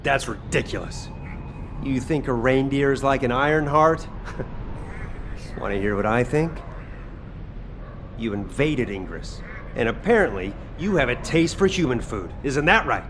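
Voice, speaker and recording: A man voicing a gruff, exasperated soldier in a video game, with a wry, sardonic manner. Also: mid-range voice, animated, measured pace, pauses often, a bit bright, slight background noise. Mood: sardonic interrogation. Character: gruff, exasperated male soldier who cooks, wry and impatient.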